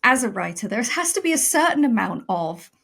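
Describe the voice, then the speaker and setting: A woman's voice: on the high side, very animated, a little fast, gravelly, fairly bright tone, breathy edge. A woman talking as a writer and podcast guest, reflective, thinking aloud and posing questions in a casual discussion.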